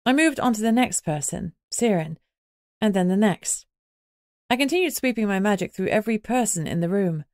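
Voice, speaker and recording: A woman's voice: medium pitch, lively intonation, moderate pace, a little mellow, no echo, studio quality. A woman reading scripted first-person audiobook narration.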